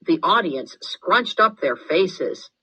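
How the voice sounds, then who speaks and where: Low-pitched, expressive woman reading a story. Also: breathy edge, rough recording. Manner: expressive, deliberate. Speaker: storybook reader. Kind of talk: scripted narration, children's read-aloud.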